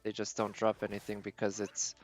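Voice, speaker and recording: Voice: low-pitched, completely flat delivery, a little fast, soft background music. A game livestream host giving casual live commentary, thinking aloud in a tentative, musing way.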